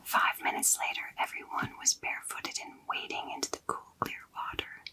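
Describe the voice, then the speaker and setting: A woman reading a children's novel aloud in a soft unvoiced ASMR whisper. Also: moderate pace, low-quality recording. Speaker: storyteller.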